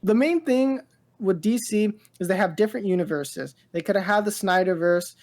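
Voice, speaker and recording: A woman co-hosting a casual pop culture podcast, rambling and halting as she thinks aloud. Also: mid-range voice, darkish tone. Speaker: podcast co-host.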